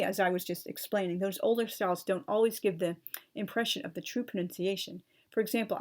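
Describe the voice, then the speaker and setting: A young adult woman explaining a topic at length in an earnest, precise way, spelling words out letter by letter, with chatty asides and fillers. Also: medium pitch. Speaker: channel host explaining language. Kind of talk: talking-head explainer, partly read from notes.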